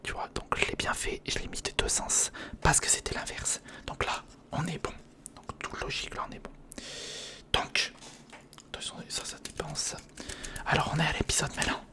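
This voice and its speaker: A man whispering in a breathy, unvoiced ASMR style, giving informal and slightly hesitant build-along commentary close to the microphone. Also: a little high, monotone, normal speed. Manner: hesitant, informal, muttering to the listener. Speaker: ASMR video host. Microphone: close recorder microphone.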